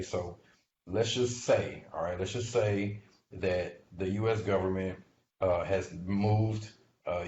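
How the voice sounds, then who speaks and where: A man with a deep voice gives informal investment commentary, explaining things in a halting, conversational manner. He regularly checks in with short tag questions. The recording is dull and echoey, as if in a bare room. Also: darkish tone. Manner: halting, conversational, often checks in with "right?" and "okay?". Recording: muffled, noisy audio in echoey room.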